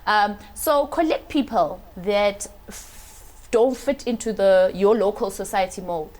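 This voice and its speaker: A middle-aged woman speaks as a confidence coach on a talk show. Her pitch sits mid-range, and her delivery is expressive, often tagging her points with "right?". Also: slow. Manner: expressive, frequent "right?" tags. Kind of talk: casual talk show guest.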